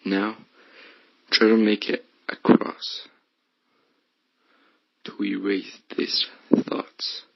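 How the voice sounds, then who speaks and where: Slow, husky, low-voiced man guiding meditation. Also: flat delivery, no room sound, highs cut off. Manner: hushed, breathy, often slipping toward whisper. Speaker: guided meditation host. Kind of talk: guided meditation, soothing instruction.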